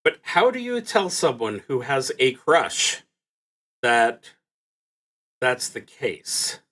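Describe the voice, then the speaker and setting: Male solo roleplay streamer, mid-range pitch, unhurried delivery with halting pauses, reasoning through the game out loud. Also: sing-song. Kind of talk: thinking aloud while playing, reading out game prompts.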